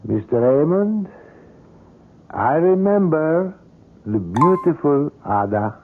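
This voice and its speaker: A man's voice: fairly high pitch, very animated, slow pace, a little hiss or hum, full character voice. An actor playing an older, courteous and obsessive male sculptor in a radio drama, with formal, florid and precise phrasing. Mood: smooth, insistent. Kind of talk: old-time radio drama acting. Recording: muffled vintage radio drama recording.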